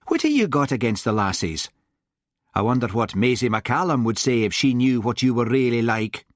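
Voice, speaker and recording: A man voicing a lazy but shrewd policeman with a Scottish Highland accent, drawling and quietly threatening. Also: very animated, moderate pace, dry recording, clean studio sound, lightly acted. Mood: quietly menacing.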